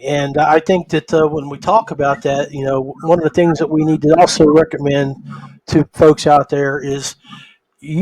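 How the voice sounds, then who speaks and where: Male podcast host, slightly high voice, conversational and halting, very dry close sound. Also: darkish tone, dry recording, low-quality recording. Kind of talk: casual conversational interviewing.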